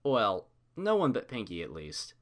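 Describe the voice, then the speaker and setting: A man narrating a fan fiction story in an animated storytelling style. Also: lowish voice, unhurried.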